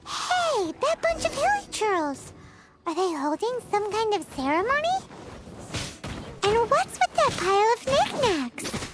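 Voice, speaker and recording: A woman voicing a tiny, childlike, bubbly fairy companion in a video game, with a squeaky voice. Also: sing-song, unhurried, very noisy, exaggerated voice. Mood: curious, excited. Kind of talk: video game character acting. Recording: loud music underneath.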